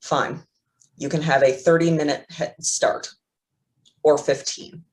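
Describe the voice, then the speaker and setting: A man's voice: a little high, steady tone, slow pace, poor audio. A man playing a smug, threatening supervillain crime boss in a staged play reading, with a taunting manner. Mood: menacing.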